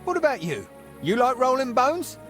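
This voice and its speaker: A man's voice: very high-pitched, normal speed, faint music behind, exaggerated voice. A man playing a jovial, middle-aged medieval guildmaster in a video game, speaking heartily. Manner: hearty, expressive. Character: jovial, dice-loving older medieval guildmaster, hearty and comradely. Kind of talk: video game character dialogue.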